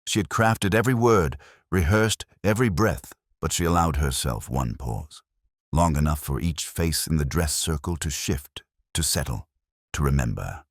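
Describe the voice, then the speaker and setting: A man's voice: rumbling low, expressive, moderate pace, no echo, studio quality. A man reading a scripted story as an audiobook narrator.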